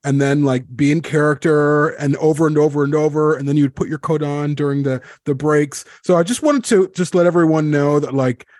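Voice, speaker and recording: A man's voice: a little high, steady tone, fairly quick, hardly any pauses, a little mellow, dry recording, studio quality. A man hosting a casual roundtable, telling anecdotes in an informal, emphatic way. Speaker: podcast host.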